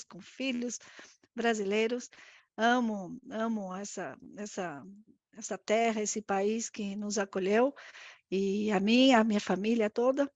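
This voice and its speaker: A woman in her fifties with an Argentine accent and Spanish as her native language, giving a conversational, reflective interview answer as a guest, often pausing to search for words. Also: fairly high pitch, no room sound, muffled recording. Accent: Argentine accent in Brazilian Portuguese. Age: 50s. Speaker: interview guest, risk management executive.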